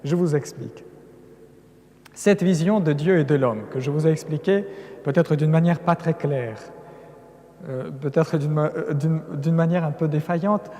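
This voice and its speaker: A man who is not a native French speaker gives an earnest Lenten conference talk, stacking phrases into emphatic build-ups and restarting words now and then. His voice is fairly high and mellow. A long cathedral reverb trails behind every phrase. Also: normal speed. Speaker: lecturing cleric. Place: large cathedral.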